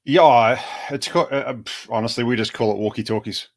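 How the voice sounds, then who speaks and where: A man's voice: mid-range voice, fairly quick. A man with a New Zealand accent, a co-host chatting casually in a laid-back, slangy, joking way. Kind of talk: casual chat.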